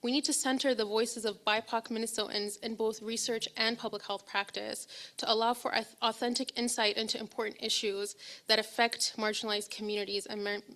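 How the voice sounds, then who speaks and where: A young woman researcher from Ethiopia speaks on a public health panel. Her voice sits fairly high. She talks earnestly, with frequent fillers and self-corrections. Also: level tone, a little fast, a bit bright. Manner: earnest, many fillers and restarts. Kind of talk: panel talk.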